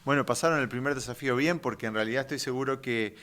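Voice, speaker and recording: A man from Uruguay hosts a faith podcast, welcoming guests and posing questions. He talks in a mid-range voice and often pauses on fillers between phrases. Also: medium pitch, a bit bright. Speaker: podcast host. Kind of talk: interview hosting.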